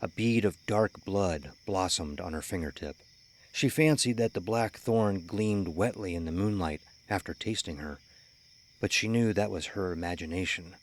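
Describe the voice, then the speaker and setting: A man's voice: low voice, normal speed. A man reading a scripted horror story as its narrator.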